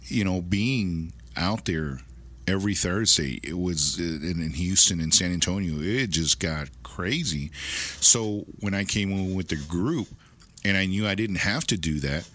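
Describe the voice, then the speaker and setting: A middle-aged man with a deep voice, speaking casually as an interview guest in a rambling way that often repeats phrases. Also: unhurried, fairly bright tone. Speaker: car dealership manager, interview guest.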